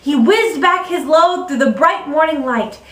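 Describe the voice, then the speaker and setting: High-voiced female storybook reader, echoey room. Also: lively intonation, measured pace, fairly bright tone. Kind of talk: dramatic storybook narration. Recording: echoey room, distant microphone.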